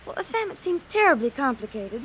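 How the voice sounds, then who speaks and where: High, girlish 1940s radio secretary. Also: sing-song, fast talker, fairly bright tone, dull audio. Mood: timid, concerned. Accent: American. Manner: girlish, hesitant, fluttery. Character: chatty, naive, devoted young female detective's secretary. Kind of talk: 1940s radio drama acting. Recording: hissy vintage 1940s radio recording.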